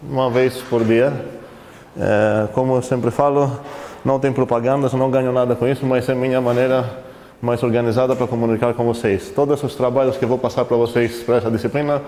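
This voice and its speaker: A man's voice: medium pitch, steady tone, fairly quick, darkish tone. Foreign-accented male lecturer, conversational, quizzes the class, recorded in a reverberant hall with faint background noise. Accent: foreign accent in Portuguese. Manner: conversational, asks the class questions, self-correcting. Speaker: university math lecturer.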